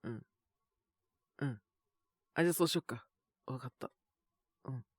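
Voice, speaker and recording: Sick man chatting softly, dry close recording. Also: mid-range voice, moderate pace, breathy edge, no room sound. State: sick with a sore, scratchy throat and cough. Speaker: voice actor making situation-voice videos. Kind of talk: intimate casual chat to an unheard partner.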